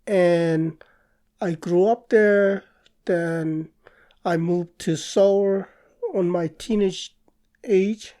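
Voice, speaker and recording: A middle-aged man from South Korea with a Korean accent, answering interview questions in a halting way, with pauses. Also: sits high, fairly even intonation, warm tone. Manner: slow, halting, with pauses and restarts. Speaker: guest artist and professor.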